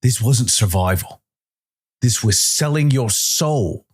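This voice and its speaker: A man's voice: mid-range voice, lively intonation, takes it slowly, husky, voice pushed up. A man voicing a bitter, vengeful spacefarer in a science fiction story, raging and accusatory. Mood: angry, accusing. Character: bitter, vengeful, enraged ex-crewmate of a space bounty hunter.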